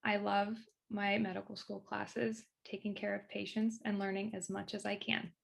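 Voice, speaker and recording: A woman in her twenties, a medical student with a mild stutter, making calm, measured statements about herself. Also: medium pitch, gravelly. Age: 20s. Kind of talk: personal testimony.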